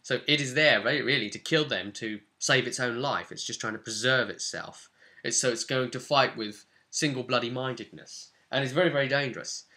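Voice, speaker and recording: A man's voice: medium pitch, normal speed, pushed and bright. A man casually narrating a tabletop role-playing session as its game master. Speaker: game master recapping his own tabletop campaign.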